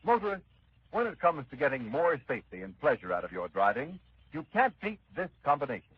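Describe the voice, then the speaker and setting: A man's voice: on the high side, sing-song, a little fast. A man working as a 1930s radio announcer, reading advertising copy with emphatic, polished delivery. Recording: muffled 1930s radio recording.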